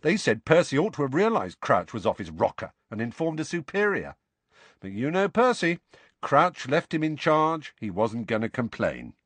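A man's voice: medium pitch, big pitch swings, normal speed, fairly bright tone, no room sound, voice pushed up. A man voicing cheeky, irreverent teenage twin brothers who gossip, in an audiobook. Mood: gossipy.

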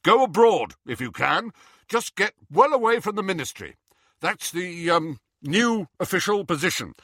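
Guy reading as young wizard hero, urgent and decisive, raised pitch, bright voice. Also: big pitch swings, dry recording, studio quality, heavily acted. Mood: instructing. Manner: brisk, commanding.

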